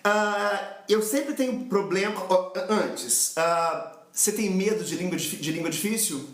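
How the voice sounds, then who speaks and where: A man's voice: sits high, measured pace, a bit bright, echoey room. A man hosting a podcast, chatting casually, asking questions and explaining his own struggles. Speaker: podcast host. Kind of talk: casual interview conversation.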